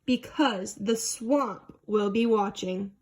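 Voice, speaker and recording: A woman's voice: mid-range voice, slow, darkish tone. A woman voicing a stern, menacing female football coach who gives terse, commanding orders in a storybook. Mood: stern warning.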